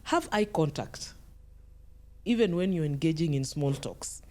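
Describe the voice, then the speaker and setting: Middle-aged woman, lowish pitch, soft mellow tone, emphatic repetition, conversational advice, podcast guest. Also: expressive, a little slow. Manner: emphatic, repeats phrases for stress.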